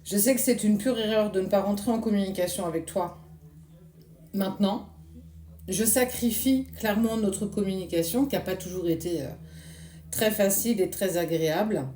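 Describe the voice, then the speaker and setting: A woman, hoarse this morning, giving a casual tarot reading and speaking reassuringly straight to the viewer. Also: medium pitch, moderate pace, mellow, echoey room, slight background noise. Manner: addresses viewer directly with soothing reassurance. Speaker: tarot card reader.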